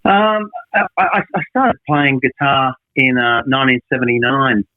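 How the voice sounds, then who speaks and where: A man's voice: mid-range voice, normal speed, dry recording. Hesitant man on a phone line. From Australia. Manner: hesitant, repeats his words. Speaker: guest guitarist. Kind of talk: casual interview.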